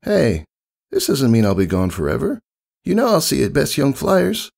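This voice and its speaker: A man voicing a grown female pegasus flyer, brash yet affectionate and gently encouraging like a big sister, in a storybook reading. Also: mid-range voice, wildly swooping pitch, moderate pace, vocal fry, pitched above the performer's own voice, heavily acted. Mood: gentle, reassuring.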